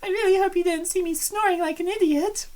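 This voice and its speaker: Casting: woman as embarrassed, girlish young date, very high voice, flustered and anxious to please, cartoonish comic style. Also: fairly even intonation, fairly quick, darkish tone. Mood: groggy, embarrassed. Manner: flustered, girlish, self-conscious. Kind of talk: cartoonish comic-dub acting.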